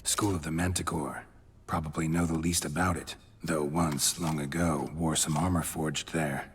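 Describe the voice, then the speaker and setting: Very deep, gruff monster hunter. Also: male voice, fairly even intonation, moderate pace, a little hiss or hum. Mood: dry, curious. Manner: terse, clipped, dry. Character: gruff, laconic male witcher, a monster hunter. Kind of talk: video game dialogue.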